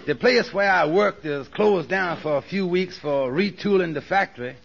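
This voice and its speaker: A man's voice: high-pitched, moderate pace, gravelly, fairly bright tone, slightly rough, full character voice. An actor playing an earnest, sensible, cautious working man with a folksy, fretful way of talking in a vintage radio comedy. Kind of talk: 1940s radio comedy acting. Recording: dull vintage radio recording.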